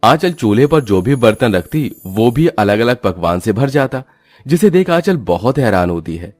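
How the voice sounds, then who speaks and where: A man's voice: mid-range voice, sing-song, normal speed, no echo, studio quality, soft background music. A man narrating a scripted cartoon story.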